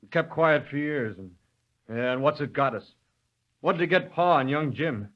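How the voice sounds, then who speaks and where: An actor playing a fed-up young rural mill worker in an old radio drama, speaking in plain, folksy, indignant earnest. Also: male voice, on the high side, expressive, moderate pace, lightly acted. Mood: bitter outburst. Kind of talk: 1930s radio drama acting. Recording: dull lo-fi vintage radio recording.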